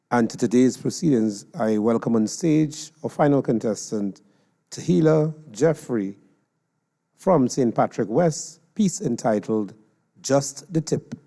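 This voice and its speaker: A man's voice: mid-range voice, vocal fry, warm tone. A man making formal stage announcements as the host of a competition. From Trinidad and Tobago. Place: stage of a large venue. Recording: echoey venue, long reverb.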